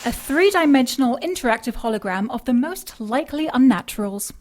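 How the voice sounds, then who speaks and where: An actress with a British accent playing a tech-savvy, teasing partner in an audio drama, speaking precisely and dryly. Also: female voice, high voice, lively intonation, fairly quick, very noisy. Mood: smug, brisk.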